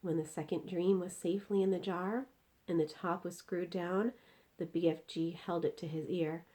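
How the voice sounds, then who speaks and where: A woman reading a children's story aloud in an expressive narrating style. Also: lowish voice, normal speed. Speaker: story reader.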